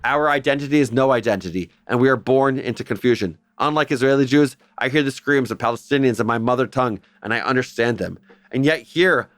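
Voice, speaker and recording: A man's voice: mid-range voice, a bit bright, no room sound. Man narrating an earnest history explainer. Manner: earnest, with wry asides. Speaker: host.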